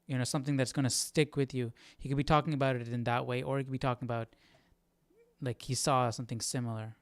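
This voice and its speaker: A man with a mid-pitched, smooth voice hosting a livestream study. He reflects casually, thinking aloud and seeking agreement as he goes. The sound is dry and close. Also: fairly even intonation, fast, no echo. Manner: thinking aloud, checking in with "right?". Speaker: livestream Bible study host.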